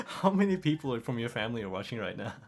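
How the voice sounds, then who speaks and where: A young man with a mixed Australian and American accent, chatting casually as a streamer reading viewer comments, relaxed and quick to laugh, over faint background music. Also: mid-range voice. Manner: relaxed, laughs often, trails off softly. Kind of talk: casual late-night chat reading comments.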